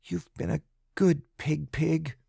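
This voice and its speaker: A man's voice: on the high side, level tone, takes it slowly, gravelly, breathy edge, no echo, voice pushed up, full character voice. Man voicing a young frightened farm boy, pleading and tender; dry close recording. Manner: pleading, soft, tearful.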